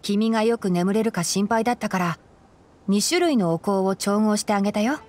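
A woman playing a calm, knowledgeable young male forest ranger in an anime game, explaining things in a composed, gently teasing way. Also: mid-range voice, normal speed, lightly acted. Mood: kind, attentive. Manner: explanatory, composed, lightly dry. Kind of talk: Japanese anime game voice acting.

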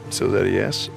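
A man's voice: very high voice, creaky, heavily acted. An actor playing a cocky, sardonic outlaw biker in his thirties in a video game, with an American accent. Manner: joking, sardonic. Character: wisecracking, cocky young male outlaw biker. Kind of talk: naturalistic game cutscene acting.

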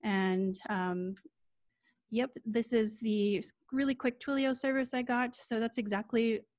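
A woman's voice: a little high, fairly even intonation, darkish tone, no echo. Woman, tech demo on a video call, casual, dry close sound. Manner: quick, informal, with small laughs and self-corrections. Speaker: lightning talk speaker. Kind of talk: casual live coding demo.

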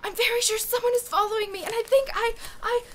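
A woman's voice: extremely high pitch, steady tone, quick pace. A woman playing an anxious wife speaking to her husband in an audio drama. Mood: frightened.

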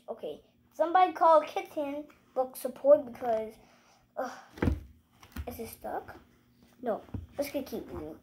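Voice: high voice, fairly bright tone, slight background noise. A young child hosting a read-aloud, reading a picture book with playful asides and exclamations. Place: living room at home, indoors.

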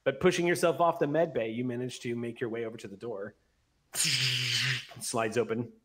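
Guy in his 40s game mastering, mid pitched voice, descriptive chatty storytelling, close mic. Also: moderate pace, noisy background. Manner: descriptive, conversational storytelling with vivid detail. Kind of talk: narrating a tabletop roleplay session. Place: small home room, video call. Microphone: close large studio microphone on an arm.

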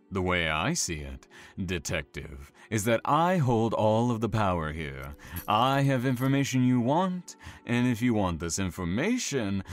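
A man playing an imprisoned killer in a horror audio drama, low-voiced and slow. He taunts and teases with smug, obsessive menace, drawing out his words over a musical backdrop. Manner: smug, teasing, drawn-out menacing delivery.

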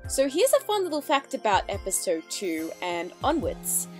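A woman's voice: fairly high pitch, wildly swooping pitch, normal speed. A woman giving a chatty, enthusiastic, casual reaction commentary as host of her video. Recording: music behind the voice.

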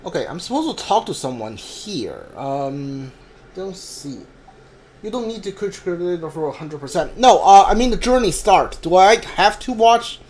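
A man's voice: high-pitched, very animated, moderate pace, darkish tone, a little hiss or hum. A man doing casual live game commentary, talking to his chat in a hesitant, repetitive, rambling way.

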